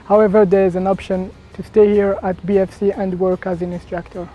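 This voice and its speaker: A man from Algeria, a student pilot, speaking in a steady, rehearsed testimonial. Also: high voice, a little fast, dull audio, faint music behind. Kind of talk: testimonial interview.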